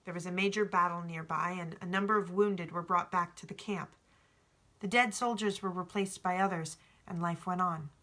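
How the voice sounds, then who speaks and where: A woman's voice: fairly low pitch, steady tone, moderate pace, sharp tone. A woman reading a novel aloud as storybook narration, in an informal way. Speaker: audiobook reader.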